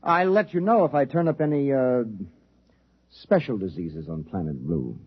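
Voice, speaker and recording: Husky, pedantic military scientist. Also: male voice, medium pitch, lively intonation, normal speed, faint music behind. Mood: wry, cautious. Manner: lecturing, wry. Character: pedantic male military biologist, cautious and wry. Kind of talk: 1950s radio drama acting. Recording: dull vintage 1950s radio recording.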